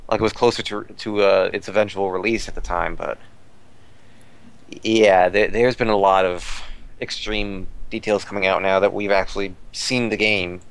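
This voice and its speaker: A man's voice: low voice, fairly even intonation, normal speed, clear, resonant tone. A man talking casually as a podcast commentator about fan news, rambling and repeating phrases as he searches for words.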